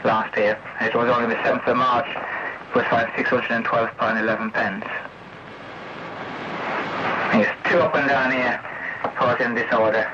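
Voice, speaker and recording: A man's voice: medium pitch, fairly even intonation, moderate pace. Man ranting over a very noisy CB radio. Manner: accusatory, sweary, repetitive, peppered with challenging tag questions. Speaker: CB radio operator. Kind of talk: rambling confrontational monologue over CB radio. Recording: noisy, muffled CB radio tape recording.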